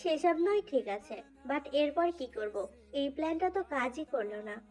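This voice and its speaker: A woman's voice: high voice, animated, a little slow, darkish tone. Naive, complaining college girl played by a woman. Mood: worried. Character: naive, complaining young female college student in love. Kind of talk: dramatised fan fiction dubbing. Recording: faint music under voice. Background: faint background music.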